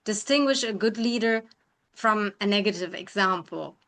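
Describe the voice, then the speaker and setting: A woman acting as interviewer, putting questions in a polite, somewhat halting manner. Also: mid-range voice, a bit bright.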